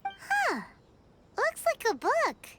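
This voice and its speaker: A woman voicing a tiny, childlike, excitable female fairy companion in a video game, squeaky and curious. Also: extremely slow, background noise, full character voice. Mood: eager, curious. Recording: game music behind the voice.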